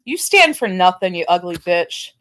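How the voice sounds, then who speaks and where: A woman's voice: lowish voice, big pitch swings, moderate pace, a bit bright. A woman hosting a casual gossip livestream, chatting cattily and swearing now and then. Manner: catty, profane, agreeable. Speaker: livestream host.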